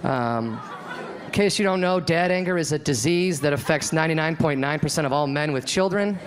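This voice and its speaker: A man in his thirties doing a deadpan stand-up routine on a comedy club stage, acting out the voices of the people in his stories, into a handheld mic. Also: on the high side, fairly quick. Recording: live club recording with crowd laughter. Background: audience laughter.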